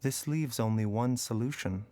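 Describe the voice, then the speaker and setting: A man playing a calm, reflective doctor. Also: medium pitch, normal speed, faint music behind, close to an everyday voice. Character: composed male physician, calm and philosophical. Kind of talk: video game voice acting.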